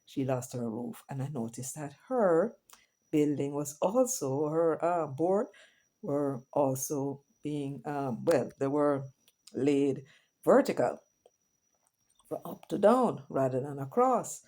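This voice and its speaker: A woman's voice: low voice, expressive, slow, warm tone. A middle-aged Jamaican woman hosting her channel, talking casually to viewers and switching between patois and English with chatty asides. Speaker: vlogger host. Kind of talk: casual commentary monologue.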